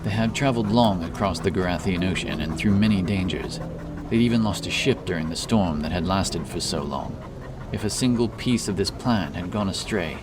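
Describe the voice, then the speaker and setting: A man's voice: low voice, noisy background. A man narrating a dramatic fantasy story as an audiobook, over background ambience. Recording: clear background ambience under voice.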